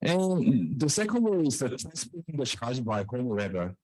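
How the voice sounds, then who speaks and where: A man giving a technical research presentation in a halting way, repeating and restarting phrases. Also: medium pitch, very animated, darkish tone, no echo, poor audio. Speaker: researcher presenting own work.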